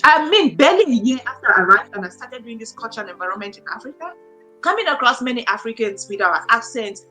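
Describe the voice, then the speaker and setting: A woman's voice: fairly high pitch, big pitch swings, quick pace, sharp tone, faint music behind, low-quality recording. A woman, a guest in a conversational interview, who talks emphatically and repeats her points for stress. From Cameroon. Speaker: guest, academic.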